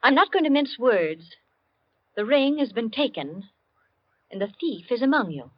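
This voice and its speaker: An actress playing an imperious, steely society hostess in a vintage radio thriller, giving deliberate, clipped orders. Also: female voice, a little high, sing-song, a crowd in the background. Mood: icy resolve. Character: imperious, steely society hostess demanding her stolen ring. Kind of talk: 1940s radio thriller acting. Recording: dull vintage radio recording.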